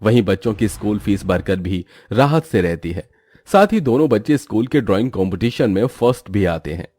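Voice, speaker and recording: A man's voice: on the low side, lively intonation, fairly quick, a little mellow, dry recording, studio quality. A man narrating a scripted cartoon moral story as its storyteller.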